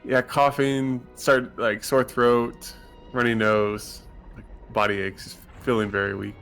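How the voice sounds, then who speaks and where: A man's voice: mid-range voice, little pitch movement, unhurried, a bit bright, soft background music. A man chatting casually as a gaming partner, congested from a cold and sounding nasal.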